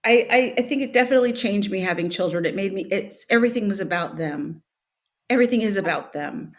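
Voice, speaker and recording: A woman's voice: mid-range voice, fast talker. A middle-aged woman speaking as an interview guest, reflective and laughing often, with many restarts. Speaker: guest, actress and author. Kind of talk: conversational interview.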